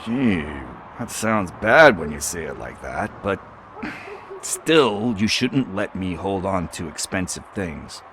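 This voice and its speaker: Shifty hustler, very deep voice, chatty wheedling slang, faint noise behind. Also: male voice, big pitch swings, takes it slowly, fairly bright tone, heavily acted. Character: shifty street hustler, middle-aged man, chatty and evasive.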